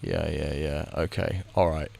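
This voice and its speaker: Low gravelly man thinking aloud. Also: fairly even intonation, unhurried, a little mellow. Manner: thinks aloud, muttering reactions to the screen; rambling, with frequent restarts. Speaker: gaming video creator. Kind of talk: casual commentary while playing a game.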